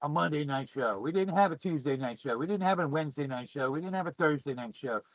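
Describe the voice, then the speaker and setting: A man's voice: on the high side, quick pace, no room sound, poor audio. A middle-aged man chatting casually to viewers as a streamer, in a rambling, informal and emphatic way. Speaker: podcast host and streamer. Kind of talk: casual chat, reminiscing to viewers.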